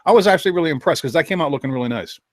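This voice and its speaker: Lively, bouncy man, dry sound. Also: on the high side, rapid-fire, no echo. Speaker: podcast co-host. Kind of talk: casual chat.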